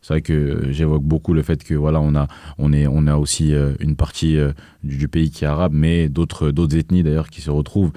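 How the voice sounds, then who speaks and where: Young male guest, very low flat voice, dark tone, halting delivery with lots of fillers. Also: dry recording, clean studio sound. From France. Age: young adult. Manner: hesitant, many fillers and restarts. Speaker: guest, activist. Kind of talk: casual interview conversation.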